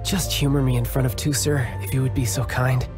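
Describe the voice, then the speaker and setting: A man's voice: medium pitch, flat delivery, fairly quick, lightly acted. A man voicing a charming, confident young warrior and doting big brother who talks suavely and playfully in a video game. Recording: game audio with loud music.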